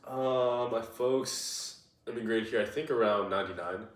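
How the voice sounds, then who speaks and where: A man's voice: medium pitch, a little slow, reverberant, distant mic. A young man in his twenties answering interview questions casually, with plenty of "like" and "uh" fillers. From United States. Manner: relaxed, lots of "like" and "uh" fillers. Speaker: interviewee, nutrition student.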